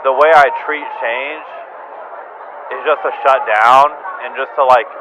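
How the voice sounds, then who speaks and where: A low-energy, downcast man rambling through a confessional voicemail, halting and repeating himself, casual and prone to swearing. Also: steady tone. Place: bar, sitting alone. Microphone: phone voicemail recording. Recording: muffled sound, loud bar noise. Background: loud bar ambience.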